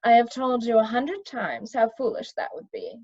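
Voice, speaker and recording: Smooth fluid delivery, woman playing a male wizard villain leader, condescending, dismissive, storybook, dull audio. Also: on the high side, animated, moderate pace, darkish tone. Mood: condescending, annoyed.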